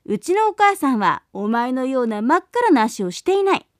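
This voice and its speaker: Brief: tiny storybook goat kids, woman reader, shrill and shouty, dry close sound. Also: animated, normal speed, no echo. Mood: defiant. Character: chorus of small excited young goats. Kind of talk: storybook reading.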